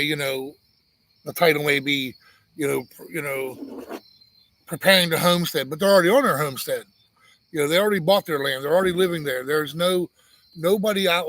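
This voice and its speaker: A man with a flat, monotone delivery chats casually as a live-stream co-host, teasing and circling back on himself with plenty of restarts. His voice sits a touch high for a man and sounds close and dry. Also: no echo, poor audio. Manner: teasing, rambling, many restarts. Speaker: homestead channel co-host.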